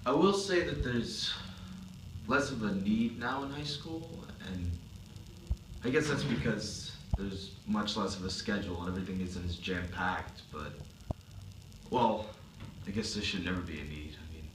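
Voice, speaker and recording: A man's voice: lowish voice, expressive, measured pace. A young man acting as a laid-back college student in a dramatic interview reading, casual and musing. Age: young adult. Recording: echoey, distant mic, background noise.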